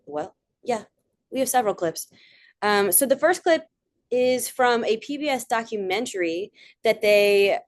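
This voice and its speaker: A young adult woman giving a casual online presentation, chatty, with frequent fillers and asides. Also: mid-range voice. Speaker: presenter of a theater talk.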